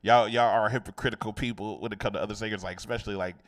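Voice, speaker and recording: Guy hosting an interview, talks fast, deep-ish voice, keeps restarting his questions. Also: fairly even intonation. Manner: rambling questions with repeated restarts. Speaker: interview host.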